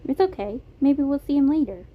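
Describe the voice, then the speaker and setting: A woman's voice: high-pitched, expressive, fairly quick, voice pushed up. A woman voicing an agreeable, easygoing young girl in a story reading. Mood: agreeable, bright. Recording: tinny phone-like audio, clear noise.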